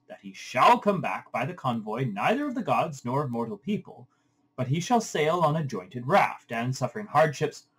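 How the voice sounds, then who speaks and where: A commanding father of the gods as a man reads him aloud speaks in a storybook epic. He is stately and declamatory, with a slightly husky voice. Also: mid-range voice, low-quality recording. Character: commanding male king of the gods.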